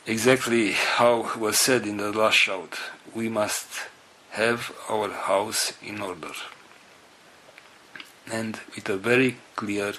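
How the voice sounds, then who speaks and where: A man addresses his group with organizational news, speaking in halting, non-native English. His phrases come in short pieces with long pauses between them, at a very slow pace. His voice is lowish yet bright, and a faint hiss sits behind it.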